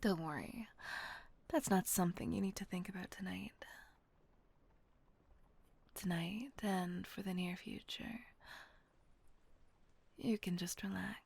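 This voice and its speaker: A woman voicing a kind, doting female vampire mistress in an audio roleplay, speaking in a soft, coaxing whisper close to the mic. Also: lowish voice, steady tone, slow pace, vocal fry, long pauses, husky, no echo, full character voice.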